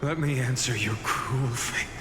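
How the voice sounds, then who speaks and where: A man's voice: medium pitch, a little slow, background noise, natural voice. A man playing an earnest, determined young fantasy hero in a game, speaking solemnly and breathily. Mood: grieving resolve. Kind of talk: dramatic game voice acting. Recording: echoey hall reverb with music behind. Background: music.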